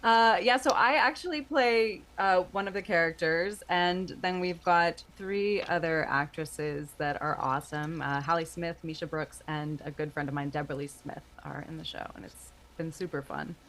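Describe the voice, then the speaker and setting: Female interview guest, 30s, slightly low voice, casual and conversational podcast-creator type. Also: moderate pace, hardly any pauses, a bit bright.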